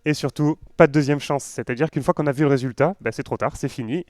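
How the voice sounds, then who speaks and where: A man's voice: medium pitch, quick pace, a little mellow, no room sound, clean studio sound. A man with a southern French accent giving an informal tech talk to an audience, conversational and full of joking asides. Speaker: conference speaker, web developer.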